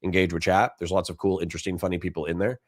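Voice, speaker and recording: A man's voice: low-pitched, level tone, rapid-fire, no room sound. Dry-voiced middle-aged male streamer. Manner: dry, sincere, rambling with many restarts. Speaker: livestream host. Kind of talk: casual livestream chat reading donations.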